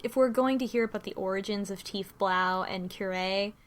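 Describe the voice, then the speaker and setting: A woman's voice: mid-range voice, normal speed. A woman in a casual group discussion, speculating and posing questions as she muses. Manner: speculative, musing with frequent questions. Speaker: discussion panelist.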